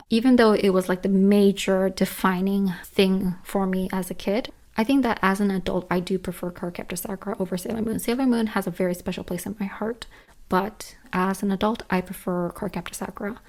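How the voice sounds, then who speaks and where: A woman with a tired, hoarse voice talks casually and earnestly through a list of favorites, repeating words for emphasis. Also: mid-range voice, a little mellow. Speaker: book reviewer, channel host. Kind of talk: casual list-style book and manga chat.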